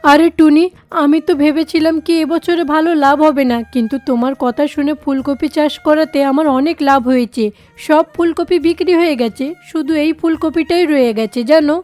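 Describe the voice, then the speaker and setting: Woman voicing a high-pitched, fussy kite bird boss. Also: steady tone, normal speed, darkish tone, faint music behind, lightly acted. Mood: pleased. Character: fretful kite bird farm owner, demanding but generous. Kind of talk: children's cartoon voice acting.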